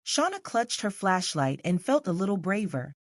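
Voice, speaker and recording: A woman's voice: medium pitch, sing-song, moderate pace, no room sound, studio quality. A woman reading scripted narration for a children's story as the narrator.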